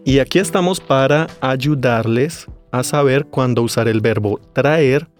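A man co-hosting a language podcast, explaining things clearly for learners. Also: mid-range voice, a little slow, music in the background. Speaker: podcast co-host, language teacher. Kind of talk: casual podcast chat.

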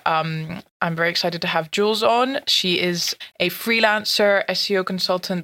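A woman's voice: lowish voice, fairly bright tone. A woman hosting a podcast interview in a casual way, with frequent "uh"s and restarts. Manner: frequent filler words and restarts. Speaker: podcast host. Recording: dull lo-fi recording.

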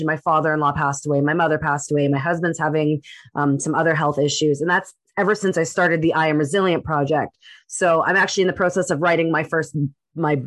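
A woman co-hosting a podcast, talking candidly in long run-on sentences. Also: low-pitched. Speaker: co-host. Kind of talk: casual podcast talk.

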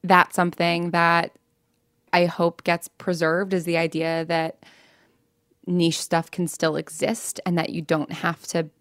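A woman's voice: fairly low pitch, little pitch movement, unhurried, a bit bright, dry recording, clean studio sound. An American woman speaking candidly as an interview guest, in a chatty, informal way with lots of "like" and self-interruptions. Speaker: interview guest, fiction podcast writer and producer.

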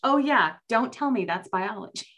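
A woman's voice: a little high, lively intonation, measured pace, gravelly, fairly bright tone. A woman chatting casually as a guest vet tech on a podcast, slightly stuffy from allergies. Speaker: podcast guest, oncology veterinary technician. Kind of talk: casual chat.

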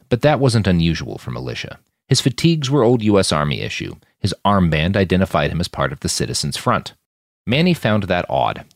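A man's voice: low voice, dry recording, clean studio sound. A man reading scripted fiction as an audiobook-style podcast narrator.

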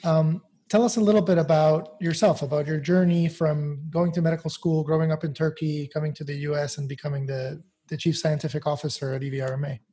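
Husky higher-pitched male podcast host. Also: flat delivery, fairly quick, few pauses, warm tone. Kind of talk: scripted podcast introduction and interview questions.

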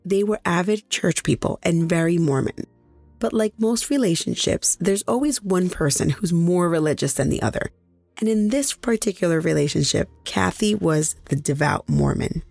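Hoarse, gravelly woman hosting a podcast. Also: fairly low pitch, moderate pace, a little mellow, dry recording, faint music behind. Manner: chatty, direct address to listeners. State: nearly lost voice, hoarse. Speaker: podcast host. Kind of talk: true crime podcast narration.

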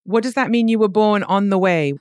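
A woman's voice: medium pitch, fairly quick, darkish tone, no echo. Woman, interviewer, probing questions, dry close recording. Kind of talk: conversational interview.

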